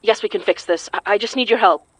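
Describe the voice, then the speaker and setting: A woman acting as an anxious but determined young folk magic practitioner in an audio drama, sometimes halting. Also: medium pitch, a bit bright, lightly acted. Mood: shaken, hesitant.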